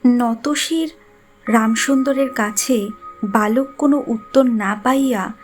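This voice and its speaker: A woman's voice: fairly high pitch, slow. A woman reading literary narration as the storyteller of an audio drama. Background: background music.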